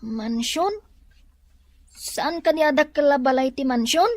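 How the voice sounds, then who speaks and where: A woman's voice: high-pitched, unhurried, no room sound. A woman voicing an eager, curious young child in an Ilocano drama, wide-eyed and pleading in manner. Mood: curious, surprised. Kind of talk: Ilocano radio-style drama acting.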